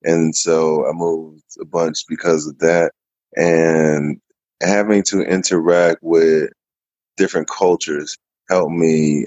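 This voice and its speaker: Male guest, deep, relaxed measured delivery, dry muffled remote audio. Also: dry recording. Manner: easygoing, measured, with frequent pauses. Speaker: podcast guest. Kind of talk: casual interview answers.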